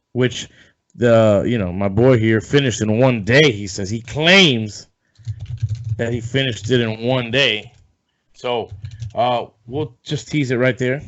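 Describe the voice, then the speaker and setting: A man hosting a casual sports podcast, rambling and loose, swearing offhand, a bit hoarse as if coming down with something. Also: medium pitch, a bit bright. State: losing his voice, feeling sick. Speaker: podcast host. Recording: dull lo-fi sound.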